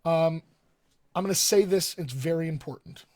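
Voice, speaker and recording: A man with a general American accent and a slightly high voice teaches in an informal live-stream style. He speaks as a coach and host, stressing key points emphatically and repeating them. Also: normal speed, darkish tone. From Colorado, United States. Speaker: dialect coach, session host.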